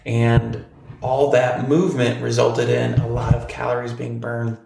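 Man, forties, podcast co-host; gravelly, monotone delivery in a roomy space. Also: medium pitch, a little slow, soft background music. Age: 40s. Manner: relaxed, storytelling, frequent ums. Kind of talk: casual podcast chat.

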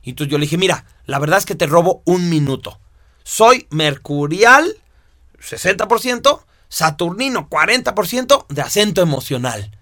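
A man teaching a course, explaining step by step with lively rhetorical questions. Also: sits high, normal speed, no room sound, clean studio sound. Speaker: instructor. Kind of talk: lecture to students.

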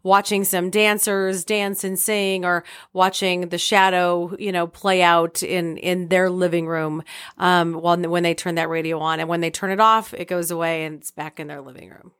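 A woman's voice: fairly low pitch, level tone, moderate pace, a bit bright, clean tone. A middle-aged woman talking casually as a theater director being interviewed on a podcast.

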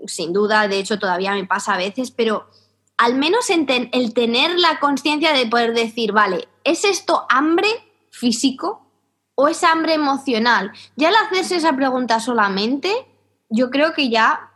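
A woman's voice: sits high, moderate pace. Chatty woman. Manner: chatty and animated, laughs easily. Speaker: interviewer, host. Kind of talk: casual chat.

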